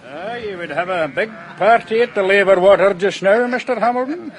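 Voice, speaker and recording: A prying Scottish sheep dealer probes with ingratiating questions in a radio play. His pitch swings widely. A faint hum lies behind him. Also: lively intonation, brisk. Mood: probing. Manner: probing, ingratiating. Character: Scottish sheep dealer Harkis, nosy and smooth. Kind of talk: radio drama acting.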